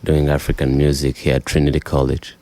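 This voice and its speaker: A man's voice: very deep voice, flat delivery, brisk, warm tone, no echo. A young adult man from Rwanda, a singer-songwriter, chatting easygoingly as a radio guest. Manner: easygoing, laughs often, chatty. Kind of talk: casual interview chat.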